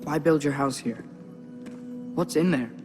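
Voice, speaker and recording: An actor playing a clever, curious teenage son in a video game cutscene, inquisitive and thinking aloud. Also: male voice, a little high, a little slow, natural voice. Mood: curious, probing. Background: music.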